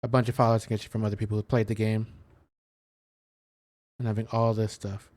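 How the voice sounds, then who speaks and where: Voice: mid-range voice, level tone, quick pace, darkish tone. A video game streamer giving casual, rambling play-by-play commentary, muttering to themself and trailing off.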